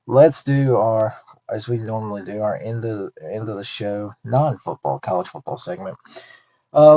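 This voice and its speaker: A man's voice: on the low side, a little mellow. A man in his thirties hosting a podcast in a chatty, easygoing way, thinking aloud and correcting himself as he goes. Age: 30s. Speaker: podcast host. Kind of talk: casual podcast talk. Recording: dull lo-fi sound.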